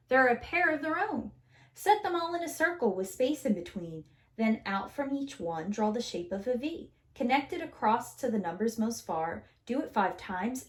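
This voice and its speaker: A woman reading a children's picture book aloud as a preschool teacher, with an expressive, theatrical, sing-song delivery. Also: on the high side.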